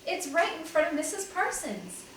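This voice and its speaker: A woman voicing an eager young boy, raising her voice high and bouncy as she reads a story. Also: very animated, normal speed, fairly bright tone, a little hiss or hum, full character voice. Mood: excited. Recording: echoey room, mic at a distance.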